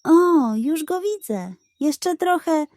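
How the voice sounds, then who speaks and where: A greedy little storybook pug, played by a woman, schemes in a high, sugary coo. He wheedles and flatters theatrically, drawing his words out in a close, dry recording. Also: takes it slowly, no room sound. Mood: eager. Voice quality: cooing, wheedling. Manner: dramatic, coaxing delivery with diminutives. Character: sly, greedy little male pug, sweet-talking schemer.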